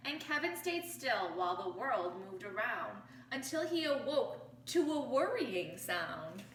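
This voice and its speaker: A woman reading a picture book aloud to children like a teacher, expressively, pausing to pose questions to her young listeners. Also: a little high, big pitch swings. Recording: roomy, distant mic with faint noise.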